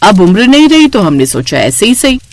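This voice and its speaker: A woman's voice: medium pitch, big pitch swings, a little fast. A woman voicing an affectionate, teasing elder sister who is a police inspector in a story. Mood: reflective, fond.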